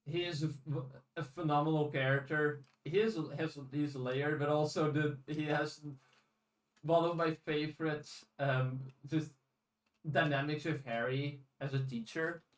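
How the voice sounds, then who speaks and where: A man co-hosting a podcast in a casual discussion, speaking haltingly with restarted phrases and casual swearing. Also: fairly high pitch, steady tone. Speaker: podcast co-host. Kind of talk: casual discussion of audiobooks and fiction. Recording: roomy echo, distant from microphone.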